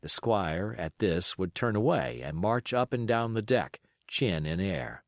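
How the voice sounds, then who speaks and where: A man reading a classic adventure story aloud as an expressive audiobook narrator. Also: on the low side, measured pace, no room sound, clean studio sound. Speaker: audiobook reader.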